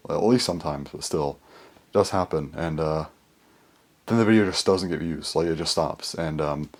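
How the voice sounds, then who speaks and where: Young male YouTuber, hoarse and very deep-voiced, rambling casual vlog chat. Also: a little mellow. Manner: rambling, full of fillers and restarts. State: hoarse, voice mostly gone.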